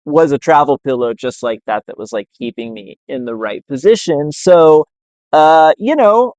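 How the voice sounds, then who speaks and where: Guy, good-humored co-host banter, really animated pitch, dry close sound. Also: normal speed, no echo, dull audio. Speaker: co-host of a live show. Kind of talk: casual chat.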